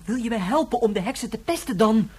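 An actress playing an earnest, excitable child who breathlessly hatches plans in a radio drama. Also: female voice, fairly high pitch, fast, breathy edge. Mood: eager, plotting.

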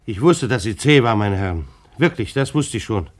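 An actor playing a nervous, dutiful German bailiff who gets agitated and stammers, in a radio drama. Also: male voice, on the low side, animated, moderate pace, close to an everyday voice. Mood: recounting, insistent.

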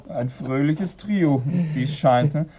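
An actor playing an inquisitive police assistant in a radio play, politely probing but easily put out. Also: male voice, a little high, takes it slowly, dark tone. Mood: curious, probing. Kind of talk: German radio play acting.

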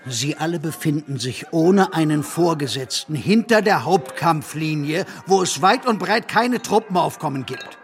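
A man's voice: fairly high pitch, expressive, moderate pace, hardly any pauses, natural voice. A man acting a menacing, officious military policeman in a radio play, speaking in a clipped, threatening official manner. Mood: suspicious, stern.

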